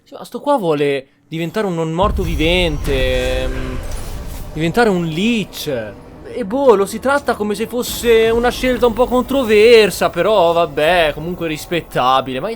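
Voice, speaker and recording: A man's voice: high voice, animated, normal speed. A man with an Italian accent giving casual gameplay commentary, blunt, grumbling and prone to swearing. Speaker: gameplay host.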